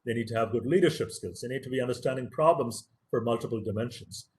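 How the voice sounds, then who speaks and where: A man's voice: medium pitch, quick pace, darkish tone. A man whose first language is Tamil gives an earnest, motivational webinar lecture as a professor, stressing key words and repeating phrases for emphasis.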